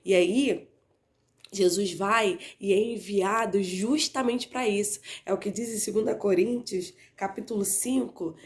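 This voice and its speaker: A woman teaching a Bible class, stuffy and slightly hoarse from a cold, with a nasal tone, talking conversationally and often adding "né?" at the end of her sentences. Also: medium pitch, a little mellow. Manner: conversational, frequently adds "né?" tag questions.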